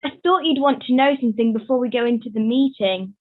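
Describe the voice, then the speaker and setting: Puppet show role: gleeful gossip by a female performer, high, playful, tinny phone-like line. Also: quick pace, natural voice. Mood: teasing, gleeful. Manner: teasing, playful, conspiratorial. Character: teasing, gleeful gossip puppet, relaxed about time. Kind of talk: online puppet show acting. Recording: thin, phone-like video call.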